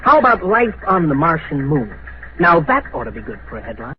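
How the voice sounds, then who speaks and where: A man's voice: on the high side, wildly swooping pitch, fairly quick, crowd noise. Earnest newsman on tinny old radio. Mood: wry. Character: earnest male interplanetary newsman, distrustful and world-weary. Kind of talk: vintage science fiction radio drama acting and first-person narration. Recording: muffled narrowband vintage radio, music behind.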